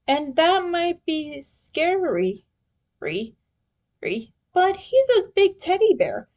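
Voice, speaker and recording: A woman voicing a wounded young male turtle in a story, teasing and sentimental, with an emotional, halting delivery. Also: extremely high pitch, big pitch swings, darkish tone, muffled recording, voice pushed up, exaggerated voice. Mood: wistful.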